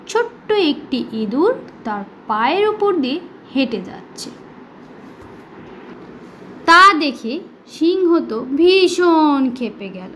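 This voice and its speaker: A woman with a high voice tells a children's story. Her delivery is slow, expressive and dramatic, each phrase set out deliberately. Faint noise sits behind the voice.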